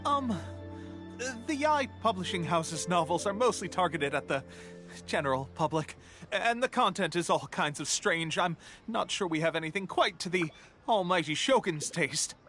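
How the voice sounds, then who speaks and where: A high-pitched, flustered clerk. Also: male voice, lively intonation, normal speed, a bit bright, faint music behind. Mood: nervous, hesitant. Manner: stammering, flustered, overly polite. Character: nervous, deferential male publishing house clerk. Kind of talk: video game dialogue acting.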